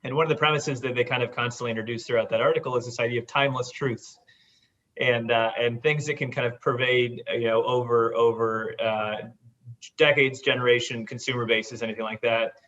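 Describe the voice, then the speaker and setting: A man's voice: mid-range voice, steady tone, brisk, fairly bright tone, lo-fi sound. A man, a company founder, speaking at length in a panel discussion, rambling with many repeated words.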